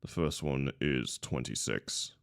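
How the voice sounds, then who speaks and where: A man with an extremely low, gravelly voice plays a tabletop game. He speaks in short, plain, casual turns, recorded close and dry. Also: takes it slowly, dry recording. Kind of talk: casual, brief game talk.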